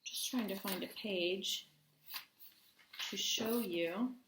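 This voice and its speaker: A woman teacher reading a storybook aloud to children, expressively, pausing to put questions to them. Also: medium pitch, background noise. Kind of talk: storybook read-aloud with class discussion. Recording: echoey room.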